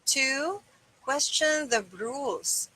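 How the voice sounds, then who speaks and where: A woman's voice: high voice, lively intonation, very slow, sharp tone, rough recording. A middle-aged woman reading a self-help book aloud in a small room indoors. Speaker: reader.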